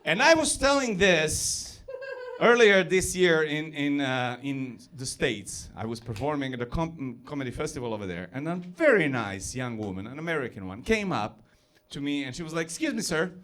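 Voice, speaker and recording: A man with a Serbo-Croatian accent doing a self-deprecating stand-up set on stage, building to yells. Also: fairly high pitch, very animated, normal speed, slight background noise. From former Yugoslavia. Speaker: stand-up comedian.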